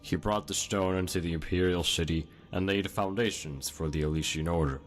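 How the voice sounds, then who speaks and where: An actor playing an ancient, world-weary demon lord in a video game, sneering and commanding. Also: male voice, sits low, a little fast, natural voice. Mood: mocking, reminiscing. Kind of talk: dramatic video game acting. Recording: music behind the voice.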